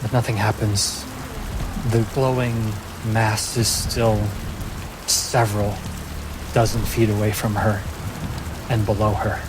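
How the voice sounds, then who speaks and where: A man working as game master, narrating a fantasy tabletop game in a measured way with dramatic pauses. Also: on the low side, flat delivery, background noise. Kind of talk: slow narration of a fantasy tabletop game, casual chat afterwards.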